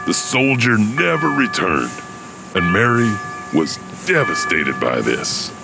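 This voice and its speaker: An actor plays a mysterious male janitor telling ghost stories. His voice is deep and slow, swinging widely in pitch. He broods and pauses dramatically over prominent music. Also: huge pitch swings, creaky, heavy background noise, heavily acted. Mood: somber. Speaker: host and storyteller of a horror anthology. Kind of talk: scripted ghost-story narration. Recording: music under the voice.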